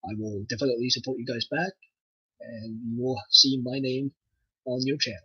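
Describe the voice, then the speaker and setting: A young man with a French Canadian accent chats on his livestream, rambling and relaxed. His voice sounds bright and slightly husky. The dry, dull home mic gives it a lo-fi feel. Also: medium pitch, normal speed, no room sound. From Nova Scotia, Canada. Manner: rambling, relaxed, with frequent fillers. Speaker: livestream host. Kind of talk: casual livestream chat.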